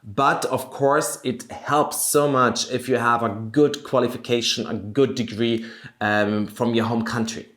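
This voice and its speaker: Male career coach, German accent, mid-range pitch, emphatic instructive delivery for an explainer video, roomy echoing sound. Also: steady tone, a little slow, a bit bright. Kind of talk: explanatory video guide to camera.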